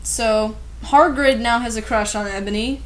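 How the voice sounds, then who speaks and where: Female reviewer, fairly high voice, sarcastic and exasperated, reading fan fiction with mocking commentary. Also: moderate pace, poor audio. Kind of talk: dramatic reading with sarcastic asides.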